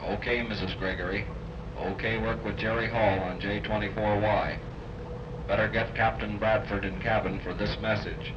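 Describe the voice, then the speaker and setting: Actor playing low curt radio operator, clipped, old muffled recording. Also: male voice, flat delivery, moderate pace, noisy background, natural voice. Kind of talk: 1930s radio acting.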